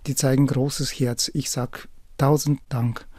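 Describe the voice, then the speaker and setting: A man from southern Ukraine, speaking in slightly broken phrases and a warm-hearted way as a radio guest. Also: medium pitch, little pitch movement, measured pace, dry recording. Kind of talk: radio interview.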